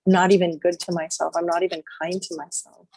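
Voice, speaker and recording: A woman guides listeners through a healing meditation in a low, husky voice. She speaks softly and slowly in short repeated phrases with long pauses. The sound is dry and close. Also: level tone, no echo. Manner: slow, soft, repetitive phrases with long pauses.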